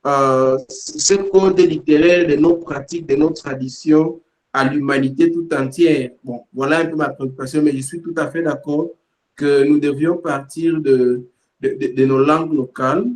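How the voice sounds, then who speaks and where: Homme hésitant, voix un peu aiguë. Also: male voice, on the high side, fairly even intonation, darkish tone. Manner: halting, many fillers and repeated words. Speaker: panel participant. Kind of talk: hesitant spoken reflection.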